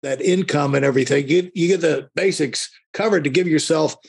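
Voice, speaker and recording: A man hosting a conversational podcast interview, talking in a halting, rambling way and often rephrasing himself. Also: a little high, vocal fry, breathy edge.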